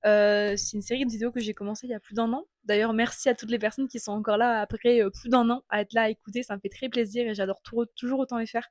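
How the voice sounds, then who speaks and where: A woman's voice: a little high, steady tone, fast, few pauses, dry recording. Reflective young woman, French accent. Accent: French from France. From France. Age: 20s. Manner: reflective, candid, many hesitations. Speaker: vlogger. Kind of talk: casual personal monologue. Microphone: small clip-on mic.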